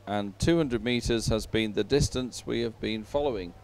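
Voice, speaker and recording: A man giving unhurried live sports commentary, filling time between races in halting phrases with frequent pauses. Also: lowish voice, gravelly, darkish tone.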